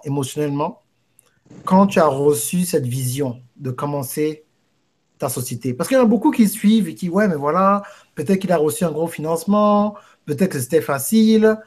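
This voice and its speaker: A man with a husky, somewhat high voice hosts an interview. He talks with eager enthusiasm and stretches his praise. Effusive, with frequent exclamations. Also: a little mellow. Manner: effusive, exclaims wow often. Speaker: interview host.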